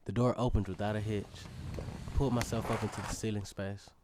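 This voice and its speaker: A man's voice: lowish voice, steady tone, a little fast, background noise, natural voice. A man playing a curious, adventurous young storyteller, narrating a scripted audio drama in the first person. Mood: focused, suspenseful.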